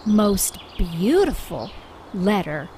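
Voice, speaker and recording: A woman reading a storybook aloud for young children in an expressive, deliberate way, drawing out key words. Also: mid-range voice, slight background noise. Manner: expressive, deliberate, with drawn-out emphasis. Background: background music.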